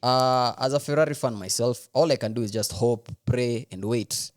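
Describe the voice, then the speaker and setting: A man's voice: mid-range voice, moderate pace. A man co-hosting a podcast, chatting informally about sport in a teasing way. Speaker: podcast co-host. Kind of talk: casual sports chat.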